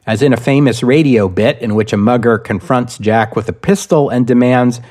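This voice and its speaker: Man narrating history in measured phrases. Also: medium pitch, a little mellow. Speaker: podcast host and narrator.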